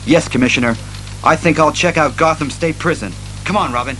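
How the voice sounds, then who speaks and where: An actor playing a serious, commanding masked detective hero, earnest and deliberate, in an audio drama. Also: male voice, fairly high pitch, over loud music, lightly acted. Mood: grave.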